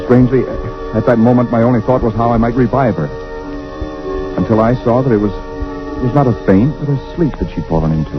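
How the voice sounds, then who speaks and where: An actor playing a frightened young husband, narrating in 1940s radio drama style with nervous stammers. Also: male voice, fairly quick, close to an everyday voice. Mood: dread. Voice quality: deep, tense voice with nervous stammers. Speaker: narrator and lead character. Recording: dull old radio broadcast with loud music.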